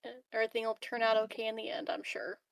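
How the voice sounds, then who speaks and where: A woman's voice: a little high, monotone, fast talker, clean tone, dry recording, close to an everyday voice. A woman playing a supportive, hesitant, slightly giggly colleague in an improvised tabletop roleplay. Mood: reassuring. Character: supportive, reassuring female colony colleague named Simone.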